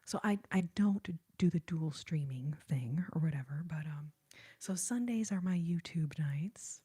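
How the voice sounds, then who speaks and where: A woman chatting casually to livestream viewers in a soft, breathy, whispery ASMR voice, deliberately gentle and restrained. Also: low-pitched, lively intonation. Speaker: live streamer and singer taking requests.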